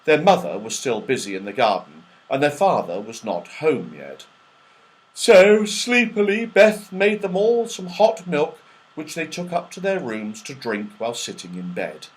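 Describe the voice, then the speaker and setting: A man reading a children's story aloud in an expressive, dramatic storytelling manner. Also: a little high, measured pace, low-quality recording. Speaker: audiobook reader.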